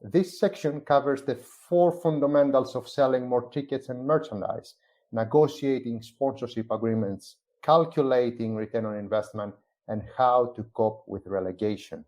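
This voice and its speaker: A man from Greece, a company's chief growth officer, presenting a business book in a measured way with frequent "uh" fillers. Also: medium pitch, darkish tone. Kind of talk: semi-scripted presentation on a live stream.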